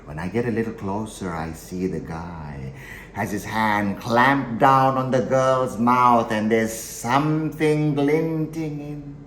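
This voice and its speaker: A man performing a theatrical monologue as a chillingly matter-of-fact, darkly amused killer, with deliberate dramatic pauses and shifts between hushed and forceful delivery. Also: mid-range voice. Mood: intense, forceful. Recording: roomy echo, faint background noise.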